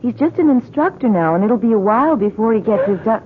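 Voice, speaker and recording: An actress playing an earnest, emotional young American college student in a 1970s radio drama. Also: female voice, a little high, lively intonation, quick pace, narrow, tinny audio, a little hiss or hum, close to an everyday voice. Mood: reassuring. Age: young adult. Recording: thin, band-limited 1970s radio recording.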